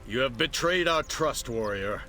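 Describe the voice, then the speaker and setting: Video game martial arts master, male: weary, guarded, earnest, very animated intonation. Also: mid-range voice, unhurried, faint music behind. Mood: wary, stern. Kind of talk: dramatic game cutscene acting.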